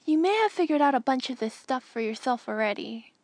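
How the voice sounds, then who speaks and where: Female reader as thoughtful young boy in a recorded message, polite and bashful, high voice, close dry sound. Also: expressive, a little fast, a little mellow, dry recording. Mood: earnest, kindly. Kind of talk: audiobook character reading.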